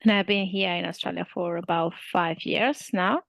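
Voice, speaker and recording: Creaky-voiced woman with a Spanish accent. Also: lowish voice, fairly even intonation, moderate pace, a bit bright, no room sound. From Spain. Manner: hesitant, many fillers and restarts. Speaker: student interviewee. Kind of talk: casual interview.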